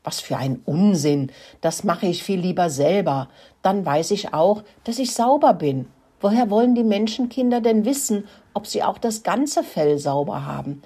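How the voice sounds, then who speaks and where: A woman's voice: medium pitch, animated, moderate pace, warm tone, slightly rough, pitched above the performer's own voice. A woman voicing a sensible, slightly bossy little girl mouse in a children's story. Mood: scoffing.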